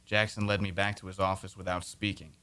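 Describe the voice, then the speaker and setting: A man's voice: low voice, flat delivery, a little fast, pushed and bright, smooth. An actor playing a young male newspaper reporter, narrating in the first person in an old-time radio drama. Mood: tense.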